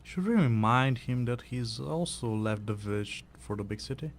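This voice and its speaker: A man's voice: mid-range voice, lively intonation, normal speed, slight background noise, natural voice. A man playing a bossy, bantering co-narrator who follows the hero in a scripted fantasy audio play. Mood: dry.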